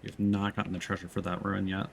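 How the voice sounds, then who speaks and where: Low-pitched man streaming a game. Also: completely flat delivery, quick pace, gravelly, a little hiss or hum. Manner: explanatory, thinking aloud. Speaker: streamer playing a video game. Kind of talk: casual let's play commentary.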